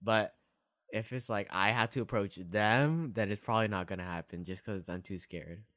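A young man co-hosts a podcast in a casual, meandering way. His voice sits a little low and his sentences are full of fillers. There is hardly any room sound around him. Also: normal speed, a bit bright, no echo, studio quality. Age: young adult. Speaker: podcast co-host. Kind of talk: casual chat.

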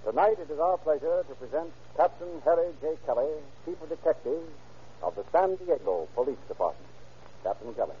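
A man's voice: on the high side, animated, brisk, highs cut off, a little hiss or hum. Formal vintage radio announcer, a man. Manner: declamatory, formal, emphatic. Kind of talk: 1930s radio announcing, scripted narration. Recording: old radio recording with music.

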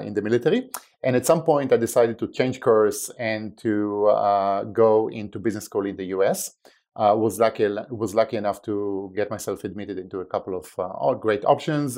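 A man with an Israeli accent, a company president guesting on a podcast, talking in a measured way with many pauses and fillers. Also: lowish voice, darkish tone. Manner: measured, filler-heavy, wry. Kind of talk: conversational interview answers.

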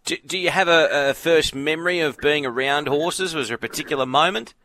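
Bright-voiced Australian radio host. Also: male voice, a little high, a little fast, no echo. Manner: friendly, chatty, some fillers. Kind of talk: radio interview hosting. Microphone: studio microphone.

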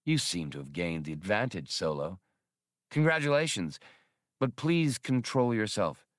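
A man's voice: lowish voice, sing-song, measured pace, dry recording. A man voicing a composed, formal gunfighter with a dry, haughty manner in a sci-fi audiobook. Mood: grudging admiration. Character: suave, composed, formal male gunfighter with mustache.

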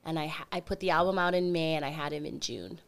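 Smooth-voiced woman telling her story. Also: low voice, fast. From Hawaii. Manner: warm storytelling with fillers and restarts. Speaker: guest, singer-songwriter and producer. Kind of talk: casual interview chat.

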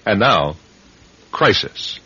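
A man narrating a radio drama as its announcer, in a measured, theatrical, scripted style. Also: fairly low pitch, very animated, background noise. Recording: lo-fi radio recording with loud music. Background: loud music.

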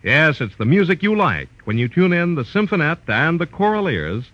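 A man's voice: fairly high pitch, big pitch swings, normal speed, no echo, dull audio. An American man announcing in formal, polished 1940s radio style, reading a scripted promo on an old broadcast recording.

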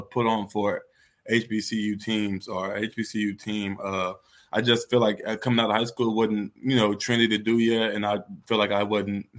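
A young American man with a fairly low voice answers interview questions casually. He talks candidly and conversationally, like a college athlete thinking out loud. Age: 20s. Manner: candid and conversational, frequent "you know". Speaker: college football player being interviewed.

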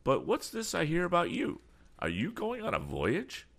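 A man voicing an excitable, fussy, eccentric older gentleman in a storybook, chattering nervously with quaint exclamations. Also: high-pitched, moderate pace, vocal fry, voice pushed up, exaggerated voice. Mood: flustered, surprised.

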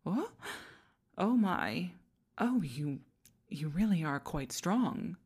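A haughty demon queen, acted by a woman, with a low voice and slow, measured delivery. She talks to the listener in pompous commands in an intimate ASMR roleplay, proud but easily flustered. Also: sing-song, a little mellow, slightly rough, full character voice. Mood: flustered, critical.

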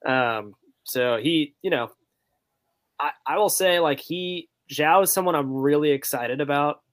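A man's voice: fairly high pitch, a little slow. A man co-hosting a podcast, chatting casually about soccer in a hesitant, informal way with plenty of fillers. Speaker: podcast co-host.

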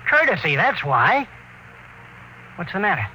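Puzzled, testy spaceman, sharp bright tone, fairly high voice, classic radio drama, faint noise. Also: sing-song, a little slow, exaggerated voice. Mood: exasperated. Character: recovering male crewman, plain-spoken, puzzled and testy under questioning. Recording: slightly noisy old radio recording.

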